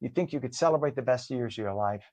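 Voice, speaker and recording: A man hosts a live morning show, chatting his way through traffic updates with easy fillers. His voice is mid-pitched and recorded dry, with little room sound. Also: fast talker, a little mellow, no echo. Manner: chatty and conversational, frequent fillers. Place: home studio.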